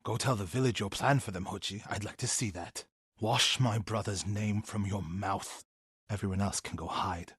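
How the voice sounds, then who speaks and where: A man playing a devout, grieving warrior monk in a video game, earnest and intense, with a husky voice. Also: on the low side, moderate pace, fairly bright tone, dry recording, clean studio sound. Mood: grave, subdued. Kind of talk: dramatic video game acting.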